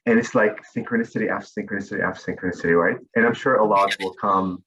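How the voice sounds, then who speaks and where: A man tells his stories in a lowish voice, looping back with ums and restarts as he goes. The sound is dull and lo-fi, like a web call. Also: steady tone, fast talker, fairly bright tone, slight background noise. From Lebanon. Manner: rambling, many fillers and restarts. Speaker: interview guest. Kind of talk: casual storytelling.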